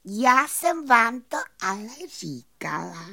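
A woman voicing a sweet, admiring little fairy who speaks with gentle, earnest exclamations in a children's story. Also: mid-range voice, very animated, gravelly, pitched above the performer's own voice, exaggerated voice. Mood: pleased. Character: little female fairy, sweet, admiring, proud of her friends.

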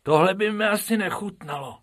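A man doing a high whiny little rabbit boy. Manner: high, whining, quick. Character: small excitable male rabbit, whiny.

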